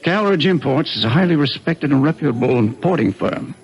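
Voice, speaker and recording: A scheming middle-aged businessman who talks calm and persuasive. His voice has a gravelly edge, and he speaks with quiet, easy confidence. Old-time radio drama. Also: a little high, brisk, poor audio. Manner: calm, persuasive, self-assured. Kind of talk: 1950s radio drama acting.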